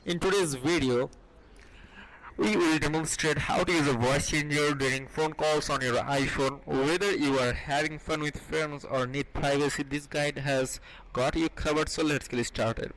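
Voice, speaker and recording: A man hosting a tutorial, reading scripted how-to narration in a somewhat halting way. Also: fairly high pitch, fairly even intonation, fairly bright tone, slight background noise, low-quality recording. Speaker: tutorial host.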